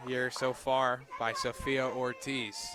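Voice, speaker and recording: A young man doing live radio play-by-play of a softball game as the announcer. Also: mid-range voice, level tone, slow. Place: outdoors at a softball field. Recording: outdoor ballpark noise, crowd behind. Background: crowd and outdoor ambient noise.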